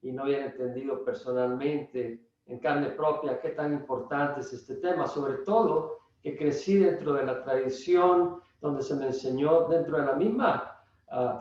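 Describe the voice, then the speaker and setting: A man's voice: mid-range voice, darkish tone. Echoey, distant older Salvadoran man lecturing. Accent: Salvadoran Spanish. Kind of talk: personal introduction to a religious lecture. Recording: echoey, distant, dull room sound.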